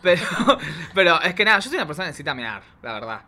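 A man's voice: fairly high pitch, very animated, fast talker, sharp tone. A man with an Argentine Spanish accent, a drag queen guest chatting casually in campy, gushing slang. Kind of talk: casual chat.

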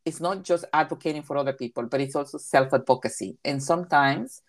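A woman's voice: sits low, fairly quick. A Spanish-speaking woman from Mexico with a Mexican accent, answering interview questions as a recreation therapy leader in an emphatic way and repeating key phrases.

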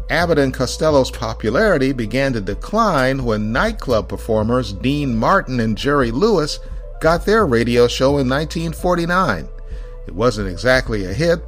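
A man reading scripted narration as the host of a radio history show. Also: medium pitch. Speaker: host of an old-time radio podcast.

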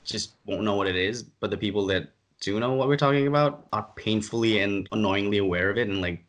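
Low-voiced man hosting a podcast. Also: a little fast, fairly bright tone. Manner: rambling, exasperated. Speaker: podcast host. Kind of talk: casual podcast chat.

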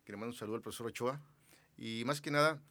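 A man's voice: medium pitch, rapid-fire, pushed and bright. A man in his sixties, from Mexico City, talking as a guest in a casual interview with a rambling, loose delivery. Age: 60s. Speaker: guest, businessman.

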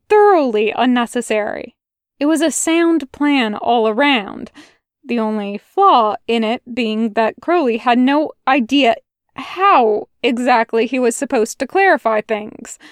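A woman narrating a fan fiction audiobook with a steady, expressive reading. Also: on the high side, dry recording, clean studio sound. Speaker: podfic reader.

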